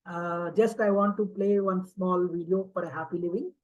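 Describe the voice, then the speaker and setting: Man, 50s, session host, halting speech, fillers, dull lo-fi video call sound. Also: high-pitched, fairly even intonation. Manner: halting, with many fillers and tag questions. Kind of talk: online talk to a community group. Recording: dull lo-fi audio.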